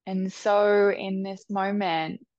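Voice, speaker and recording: A woman leading a guided meditation with soft, soothing instructions. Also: mid-range voice, steady tone, no echo, lo-fi sound. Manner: soft, slow, soothing instructions with long pauses.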